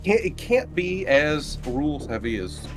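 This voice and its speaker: A man's voice: fairly high pitch, sing-song, a little slow, music in the background. A man chatting casually as a player in a tabletop roleplaying game.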